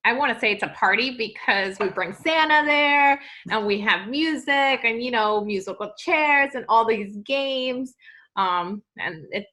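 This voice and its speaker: A woman from Peru, a podcast guest in casual interview chat, talking haltingly with many ums and restarts. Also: a little high, sharp tone.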